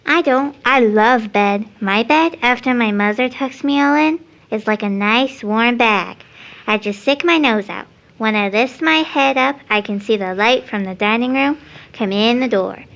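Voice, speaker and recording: A sweet dreamy little girl for audio drama, highish voice, earnest childlike talk. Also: unhurried, gravelly, fairly bright tone, dull audio. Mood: dreamy, happy.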